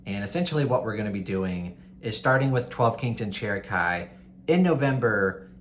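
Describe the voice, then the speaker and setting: A man's voice: fairly low pitch, lively intonation, moderate pace, a little hiss or hum. A man chatting casually and enthusiastically to viewers as a book reviewer, in an informal, conversational way. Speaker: book reviewer hosting a readalong. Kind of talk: casual chat to viewers, recommending books.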